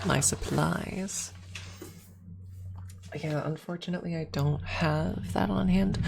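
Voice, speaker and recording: A woman with a soft, breathy voice, often near a whisper, chatting casually and playfully as an ASMR streamer close to the microphone. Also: deep voice, noisy background. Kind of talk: casual ASMR livestream chat with viewers.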